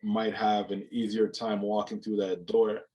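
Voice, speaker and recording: A young man with a fairly low voice talks over a video call from a room at home. He is from Jamaica and speaks thoughtfully, circling back with "you know" and restarting his words as he works through an idea. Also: level tone, unhurried, mellow, slight background noise. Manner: reflective, many "you know" fillers and repeated words. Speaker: guest, mental health speaker. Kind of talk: casual interview conversation.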